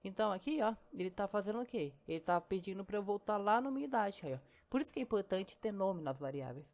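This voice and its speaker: A woman's voice: mid-range voice, expressive, fast, darkish tone. A woman teaching programming in a casual screen-recorded lesson, chatty and step by step, often saying "ó" and "né".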